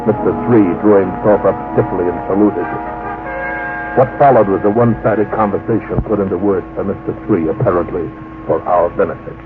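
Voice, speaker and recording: Male actor as proud scientist-narrator, low voice, declamatory, deliberate, old radio drama, thin phone-like recording. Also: lightly acted. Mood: awed recounting. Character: proud, earnest male professor leading a scientific expedition. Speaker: narrator and lead character. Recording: tinny vintage radio recording with music.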